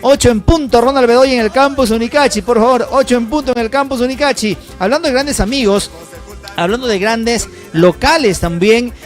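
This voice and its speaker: Male live-stream host: high voice, quick salesy patter, faint music behind. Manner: rapid, upbeat, salesy, many fillers. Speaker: livestream host. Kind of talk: live broadcast shout-outs and sponsor ad.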